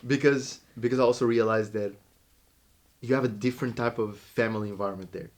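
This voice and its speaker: A young man from Portugal telling stories in a relaxed podcast chat, with many fillers. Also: medium pitch, brisk. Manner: relaxed storytelling with lots of fillers. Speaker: podcast co-host. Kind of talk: casual chat.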